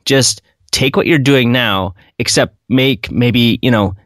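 A man's voice: medium pitch, slow, a bit bright, no room sound, clean studio sound. A middle-aged man hosting a podcast, chatty and enthusiastic, rambling as he sets up his questions. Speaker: podcast host. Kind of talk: casual interview.

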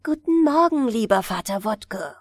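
A kindly little shepherd boy from a fairy tale, as a woman reader puts him on. He speaks simply and earnestly, with a lively melody. The recording is dry and close. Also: fairly high pitch, measured pace, dry recording. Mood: cheerful. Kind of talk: fairy tale storybook reading.

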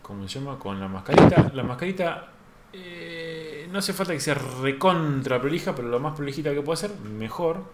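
A man's voice: on the high side, animated, normal speed, reverberant, background noise. Man murmuring as he sculpts. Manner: thinks aloud while working, soft and trailing. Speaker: host sculptor teaching. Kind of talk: live-stream sculpting lesson, casual.

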